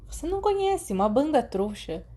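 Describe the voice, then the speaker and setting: A woman's voice: high voice, very animated, a little fast, warm tone, voice pushed up. A woman with a Brazilian accent voicing a cocky, evasive, rebellious teenage boy in an audiobook, with an offhand manner.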